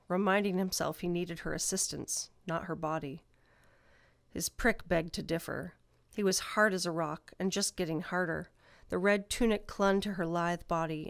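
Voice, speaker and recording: A woman's voice: lowish voice, clear, resonant tone, dry recording, studio quality. A woman narrator reading a scripted fantasy story.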